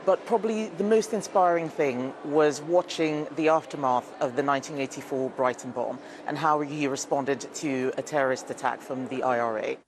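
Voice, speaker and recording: Politician, press tribute, middling pitch, noisy outdoor street recording. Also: normal speed, few pauses.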